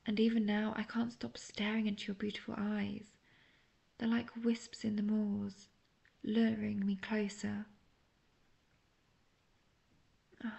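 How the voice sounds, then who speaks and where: Woman acting a creaky-voiced, obsessive lovestruck witch. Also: medium pitch, darkish tone, muffled recording, close to an everyday voice. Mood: wistful, adoring, then infatuated, breathy. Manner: breathy, slow, coaxing, often half-whispered. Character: obsessive young female witch, lovestruck and manipulative. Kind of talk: intimate roleplay audio drama.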